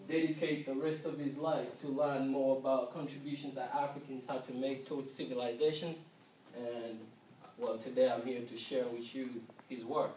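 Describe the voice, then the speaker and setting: A man from Uganda with a Ugandan accent giving an informal class presentation, repeating words haltingly as he goes. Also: medium pitch, a little mellow. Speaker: student presenter. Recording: noisy, echoey room, distant mic.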